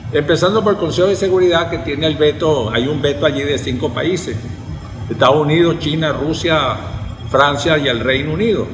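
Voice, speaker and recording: Venezuelan man, academic panelist, mid-pitched, explanatory, unscripted, echoey hall. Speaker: university professor and panelist. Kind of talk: spontaneous academic panel talk. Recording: echoey hall with long reverb.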